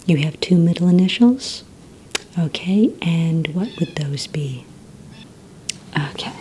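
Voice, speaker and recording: A woman whispering softly and breathily in an ASMR roleplay, playing a polite receptionist who asks questions in a gentle, hesitant manner. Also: lowish voice, expressive, takes it slowly, a little hiss or hum, low-quality recording. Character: courteous female villain recruitment receptionist.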